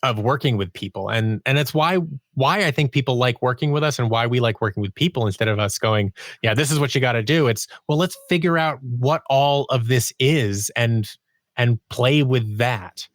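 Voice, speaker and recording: Guy on a podcast explaining his creative work, stops and restarts a lot, thinking out loud, dry close sound. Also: mid-range voice, dry recording. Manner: halting, thinking aloud, often restarting phrases and acting out quoted remarks. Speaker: podcast guest, audio drama writer and producer. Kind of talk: casual interview conversation.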